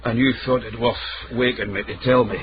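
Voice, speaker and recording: An actor playing a sardonic, insolent street-wise investigator in a radio drama, dry and deadpan. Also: male voice, medium pitch, brisk, lo-fi sound, a crowd in the background, lightly acted.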